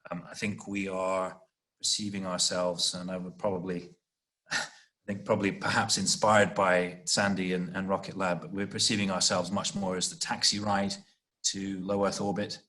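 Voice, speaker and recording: A man answering as an executive guest in an interview, explaining off the cuff with halting, repeated words as he searches for phrasing. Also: low-pitched, little pitch movement, fairly bright tone. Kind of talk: panel interview, extemporaneous explanation.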